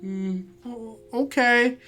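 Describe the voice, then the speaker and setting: A game master narrating an improvised tabletop game casually, haltingly thinking aloud. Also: mid-range voice, sharp tone. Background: guitar music.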